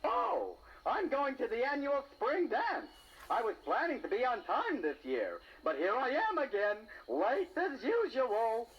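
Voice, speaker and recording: A man's voice: very high voice, very animated, unhurried, a bit bright, husky, lo-fi sound, exaggerated voice. A man voicing a chatty, easygoing snail in a children's audio storybook, jaunty and matter-of-fact. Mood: easygoing, curious.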